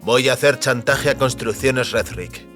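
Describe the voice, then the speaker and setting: An actor playing a wary, determined man trapped between powerful forces, speaking deliberately in an audio drama. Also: medium pitch, fairly bright tone, soft background music, close to an everyday voice. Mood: urgent, determined.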